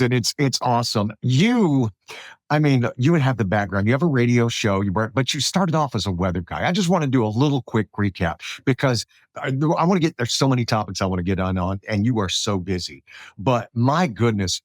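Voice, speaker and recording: Animated middle-aged man hosting. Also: mid-range voice, normal speed, dry recording, clean studio sound. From South Alabama, US. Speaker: podcast host, radio broadcaster. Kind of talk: casual conversational interview.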